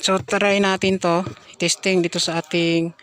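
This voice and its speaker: Flat-toned Filipino man demonstrating gear. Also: high voice, unhurried, clean tone. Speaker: product reviewer. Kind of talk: casual hands-on product demonstration.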